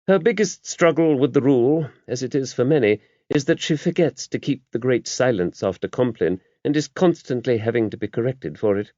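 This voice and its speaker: A man voicing an older abbess in an audiobook, a shrewd, gently wry woman who talks in a brisk, genteel, confiding way. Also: mid-range voice, no echo, voice pushed up, natural voice. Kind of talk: audiobook character voice. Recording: dull, muffled lo-fi audio.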